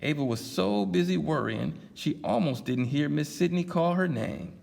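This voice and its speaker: A man reading a picture book aloud at a library storytime, pausing for chatty asides to his listeners. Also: a little high, animated, gravelly, a little mellow, in a large hall. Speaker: storytime reader.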